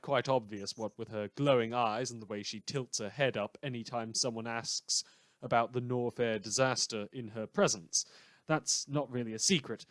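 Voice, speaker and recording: A man playing a gleeful, sardonic villain who hosts a radio news show, delivering a scripted monologue with dramatic pauses and sarcasm. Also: mid-range voice, dry recording, natural voice. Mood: theatrical, knowing.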